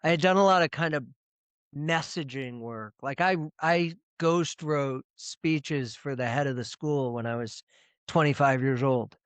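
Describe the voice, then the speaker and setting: A man's voice: mid-range voice, unhurried, smooth, no echo. A middle-aged man speaking casually as an interview guest on a video call, rambling with frequent restarts and repeated words. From United States. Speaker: presentation coach, guest.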